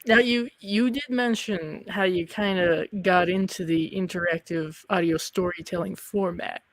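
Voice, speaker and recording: A man hosting a casual interview, feeling his way through questions and comments in a halting manner. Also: sits high, fairly even intonation, no echo. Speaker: interviewer and host.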